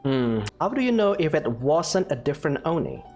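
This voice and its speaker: A man's voice: a little high, big pitch swings, normal speed, a little mellow, faint music behind. A man giving casual commentary as a game player, joining in with the dialogue.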